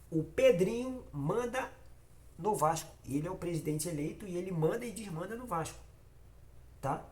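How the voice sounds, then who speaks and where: A man's voice: fairly high pitch, a little mellow. A man from Rio with a Carioca accent talks straight to his viewers in a casual fan vlog. He has a cold and his voice comes out nasal. He repeats himself for emphasis, hammering each point home. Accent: Carioca Brazilian Portuguese. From Rio de Janeiro, Brazil. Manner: repetitive and emphatic, addresses viewers directly. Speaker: football fan channel host.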